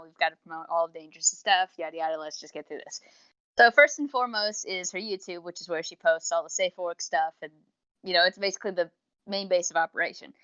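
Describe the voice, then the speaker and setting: Lower-voiced woman on a dull voice-chat recording. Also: fairly bright tone, clear, resonant tone, no room sound. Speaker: podcast co-host. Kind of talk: casual podcast chat over voice call. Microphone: online voice call.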